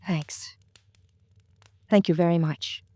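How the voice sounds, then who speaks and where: A woman's voice: on the low side, fairly even intonation, slow pace, dark tone, no echo. An actress playing a distressed, pleading woman of about thirty in a 1950s radio melodrama, sounding plaintive. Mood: dejected, grateful. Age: 30s.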